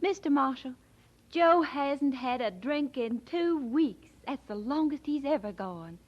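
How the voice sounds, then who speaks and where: An actress playing a cheerful, friendly rural wife in an audio drama. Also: female voice, high-pitched, lively intonation, a little slow, highs cut off. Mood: glad, proud.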